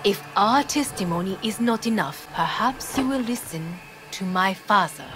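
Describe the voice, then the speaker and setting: Woman acting a steely heiress, Japanese accent, medium pitch, formal, measured, restrained, game drama over music. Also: expressive, lightly acted. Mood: resolute. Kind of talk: video game cutscene acting. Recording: music behind the voice.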